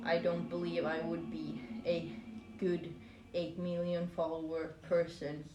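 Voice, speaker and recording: A woman's voice: lowish voice, level tone, unhurried, lo-fi sound. A woman from Norway, chatting casually to live stream viewers in a hesitant, rambling, playful way. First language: Norwegian. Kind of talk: casual chat with stream viewers while crocheting. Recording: roomy echo with music behind. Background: music.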